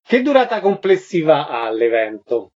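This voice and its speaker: A man with a fairly high voice hosts a radio interview. He moves between brief questions and scripted links, and the sound is a little dull and lo-fi. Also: sing-song, moderate pace, a little mellow.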